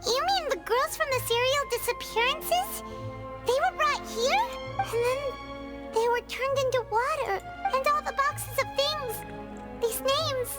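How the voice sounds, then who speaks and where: A woman voicing a small, childlike, excitable and talkative fairy companion in a video game, with a squeaky, exclamatory delivery. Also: unhurried. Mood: alarmed. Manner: exclamatory, refers to herself in third person. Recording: game music behind the voice.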